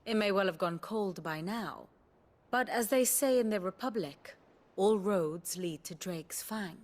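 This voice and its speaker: A woman playing a shrewd scholar and strategist in a fantasy game, speaking in formal, archaic, measured phrases. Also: mid-range voice, darkish tone, lightly acted. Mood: approving, assured. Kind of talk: scripted fantasy game dialogue.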